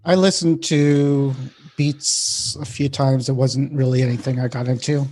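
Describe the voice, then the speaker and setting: A man's voice: mid-range voice, steady tone, unhurried, a little mellow. A man chatting casually as co-host of a tech podcast. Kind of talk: casual chat.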